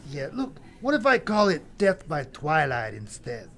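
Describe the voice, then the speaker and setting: A man's voice: on the high side, huge pitch swings, a little slow, creaky, a bit bright, slight background noise, full character voice. A man playing Death as a brash, glib, freeloading cousin who rambles in bro talk in an audio comedy drama. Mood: glib, animated.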